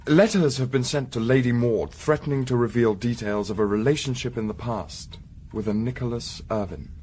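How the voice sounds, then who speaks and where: Man playing a formal, composed gentleman investigator. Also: mid-range voice, slight background noise, close to an everyday voice. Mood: probing, cool. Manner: formal, measured, probing. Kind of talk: period radio drama acting.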